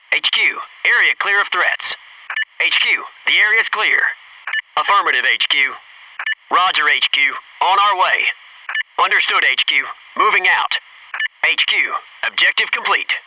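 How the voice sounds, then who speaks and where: High-voiced cocky young soldier. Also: male voice, distant mic, background noise. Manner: clipped, urgent, wisecracking. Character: young cocky wisecracking Navy SEAL squad soldier. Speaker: video game voice actor. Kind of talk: military game combat barks and radio callouts.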